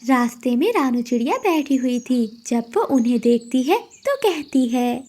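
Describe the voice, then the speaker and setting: A woman narrating a scripted children's animated story. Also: high-pitched, unhurried.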